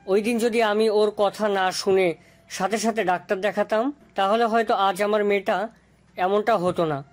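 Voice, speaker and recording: A woman's voice: mid-range voice, fairly even intonation, moderate pace, soft background music, natural voice. A woman playing a worried, grieving parent full of self-blame in a Bengali audio story. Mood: guilty sorrow.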